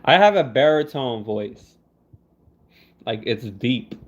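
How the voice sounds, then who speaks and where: A man's voice: medium pitch, lively intonation, slow pace. A young man on a casual livestream, laid-back and teasing, laughing as he answers viewer comments. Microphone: phone. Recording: dull lo-fi phone audio.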